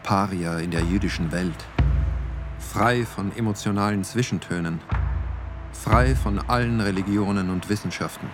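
A man reciting scripted aphorisms for a radio play with deliberate, separated phrasing. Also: fairly low pitch, lightly acted. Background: music.

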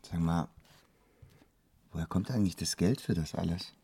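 An actor playing a reticent, doubting young male poet in a radio play, speaking hesitantly in a muted, breathy, gravelly voice. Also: sits low, moderate pace, warm tone, no echo, full character voice. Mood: wary, curious. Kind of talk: German radio play dialogue.

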